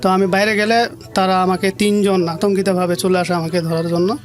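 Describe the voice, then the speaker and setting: A man from rural Bangladesh with a Bangladeshi accent and a high voice. He tells his own story in plain, colloquial words and repeats phrases, with music faintly behind him. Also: flat delivery, brisk. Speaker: interview guest telling his life story. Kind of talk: personal storytelling in an interview.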